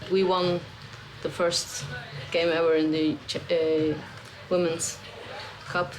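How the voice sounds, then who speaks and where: A man with a fairly high voice speaks English hesitantly, pausing to find his words. Clear background noise runs through the interview. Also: little pitch movement. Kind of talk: interview in non-native English.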